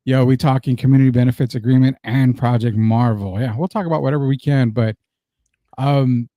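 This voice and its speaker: A middle-aged man hosts a live sports stream with a mellow, relaxed tone. He talks in a loose, slangy, conversational way, recorded close and dry. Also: medium pitch, fairly quick, no echo. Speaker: sports show host.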